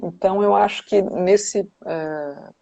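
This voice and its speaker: A woman's voice: medium pitch, slow pace, vocal fry, warm tone. A woman psychoanalyst, talking in a casual, hesitant way with many restarts and tag questions on a live video call. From Brazil. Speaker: psychoanalyst guest. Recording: dull lo-fi video call audio.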